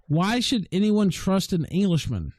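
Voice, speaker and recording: Male livestream host, informal and chuckly, slightly high voice, very dry sound. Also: very animated, measured pace, darkish tone, no room sound. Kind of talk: casual live interview, reading viewer questions.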